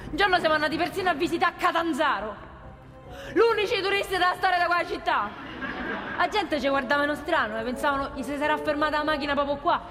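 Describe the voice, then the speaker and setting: A woman's voice: high voice, a bit bright, long reverb tail. An actress playing a plain-spoken, desperate working-class woman with a Roman accent in a theatrical monologue. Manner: plain-spoken, ungrammatical, earthy. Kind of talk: theatrical monologue in colloquial dialect.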